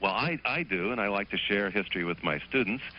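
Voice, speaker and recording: A man, a teacher calling in to a television program, answering politely and haltingly with many fillers. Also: lowish voice, animated, a little hiss or hum. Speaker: teacher and call-in guest. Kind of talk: phone interview. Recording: muffled phone line on broadcast.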